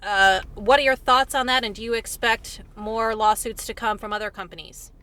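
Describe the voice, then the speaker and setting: A woman working as a television reporter, asking polite, somewhat halting questions in a phone interview. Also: fairly high pitch, fairly even intonation, sharp tone.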